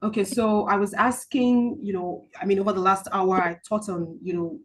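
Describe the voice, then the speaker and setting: A woman coaching a group on money mindset over a video call. She talks haltingly with many ums and restarts, and slows into soft guided-relaxation instructions. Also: mid-range voice, steady tone, slight background noise, low-quality recording. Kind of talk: online group coaching session with guided visualization.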